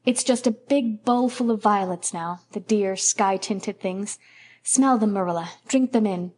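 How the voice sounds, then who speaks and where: A woman's voice: medium pitch, measured pace, a little mellow, natural voice. Woman voicing a dreamy poetic young woman. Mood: delighted.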